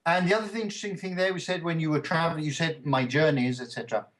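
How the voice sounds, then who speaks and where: A man teaching an English lesson one-to-one, explaining grammar clearly and checking understanding with "okay?". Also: fairly high pitch, steady tone, racing, very noisy. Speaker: English tutor. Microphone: call microphone.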